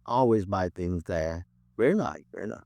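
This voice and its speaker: A man's voice: deep voice, animated, normal speed, mellow, dry recording. An actor playing an eccentric, rambling crank who earnestly preaches doom in a 1950s radio drama, hesitating as he goes. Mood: chatty, rambling. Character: eccentric male crank author, rambling doomsday prophet.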